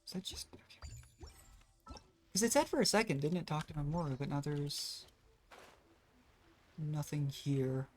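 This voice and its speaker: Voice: mid-range voice, lively intonation, unhurried, pauses often, a little mellow. A game streamer rambling casually through gameplay commentary, thinking aloud in a self-deprecating way. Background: video game music.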